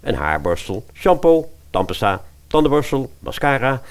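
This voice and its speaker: A man narrating a comic fairy-tale audio drama as an expressive storyteller. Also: mid-range voice, normal speed.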